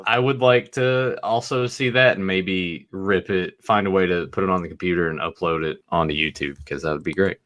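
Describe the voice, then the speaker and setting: A man's voice: deep voice, fairly quick, hardly any pauses, a bit bright. A man hosting a podcast in casual chat, telling rambling stories with free swearing and laughing at himself. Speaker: podcast host.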